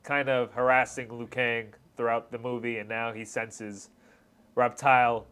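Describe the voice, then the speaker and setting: A man's voice: medium pitch, flat delivery, a little slow, fairly bright tone, clear, resonant tone. A man hosting a reaction video, commenting on fight scenes in casual slang with hyped exclamations. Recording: music behind the voice.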